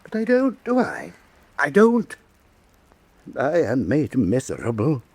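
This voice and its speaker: Husky, volatile, self-pitying ruler. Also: male voice, mid-range voice, wildly swooping pitch, slow pace, a little mellow, dry recording, exaggerated voice. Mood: aggrieved, self-pitying. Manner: theatrical, pompous, volatile speechifying. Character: fretful, self-pitying male Doge, a vain ruler and patron. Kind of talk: radio drama acting.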